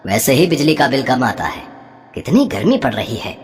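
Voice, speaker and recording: A woman voicing a calm, easygoing middle-aged husband who coaxes his wife to stop penny-pinching. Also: extremely low pitch, normal speed, in a large hall. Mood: coaxing. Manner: calm, coaxing.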